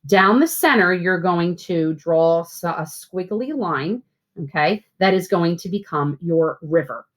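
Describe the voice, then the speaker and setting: A woman reading aloud as a teacher to schoolchildren, with expressive, deliberate phrasing. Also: lowish voice. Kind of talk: read-aloud storytelling and simple instructions for children.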